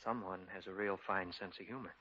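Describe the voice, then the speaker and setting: An actor playing a man caught up in a horror story, with measured, dramatic old-time radio delivery on a dull vintage recording. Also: low voice, fairly even intonation, pushed and bright, a little hiss or hum. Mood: uneasy. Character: apprehensive man narrating his own horror story.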